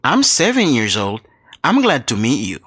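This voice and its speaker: A man reading scripted English dialogues and exercise questions for a learners' audio book, slow and clearly enunciated. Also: mid-range voice, huge pitch swings, a bit bright, dry recording, clean studio sound. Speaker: textbook audio reader.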